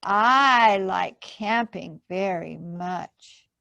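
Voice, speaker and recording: A woman reading aloud plays a big, food-loving storybook monster. He is proud and very polite, and he takes his words very slowly, sounding close and dry. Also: mid-range voice, gravelly, sharp tone, no echo, full character voice. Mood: proud, content.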